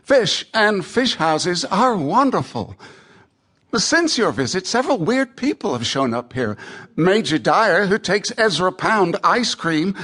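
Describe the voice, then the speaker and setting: A literary man of letters in a radio play. His voice sits high and moves animatedly up and down. He is chatty, wry and admiring as he reads to a friend. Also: a bit bright, exaggerated voice. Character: witty, admiring male American poet writing to a friend. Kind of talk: radio theatre, reading letters aloud.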